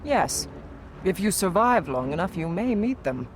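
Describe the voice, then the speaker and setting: A man playing a big, proud, easygoing hunter in a video game cutscene, hearty and unhurried. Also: sits high, big pitch swings, slight background noise. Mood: hearty, amused.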